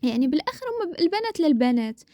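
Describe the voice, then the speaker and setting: A woman from the Maghreb chatting casually as a co-host, opinionated and quick to laugh. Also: high voice, big pitch swings, warm tone, no room sound. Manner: speaks in a levelled dialect mix, not her own. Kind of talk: casual chat.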